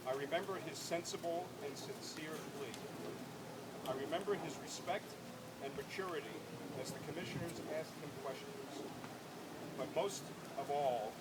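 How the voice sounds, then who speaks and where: A man reading prepared memorial remarks aloud outdoors at a ceremony, in deliberate, measured phrases with pauses. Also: on the high side. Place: outdoors at a ball field. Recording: loud outdoor ambient and vehicle noise.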